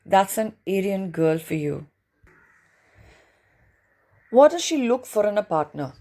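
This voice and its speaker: A woman's voice: medium pitch, sing-song, slow, mellow. A woman reading a book chapter aloud as scripted audiobook narration.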